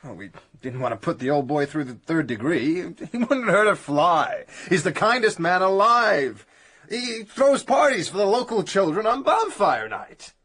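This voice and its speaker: An affable, slightly defensive gentleman in a radio mystery. His voice is high for a man, husky, and very animated in pitch. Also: a little slow, exaggerated voice. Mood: defensive, earnest. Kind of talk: radio drama acting.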